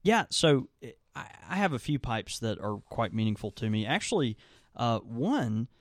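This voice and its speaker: A young man with a mid-range voice co-hosting a relaxed podcast. His talk is easygoing and rambling, full of fillers, and the sound is dry and close. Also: mid-range voice, moderate pace, no echo. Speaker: podcast co-host and tobacco shop worker. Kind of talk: casual chat.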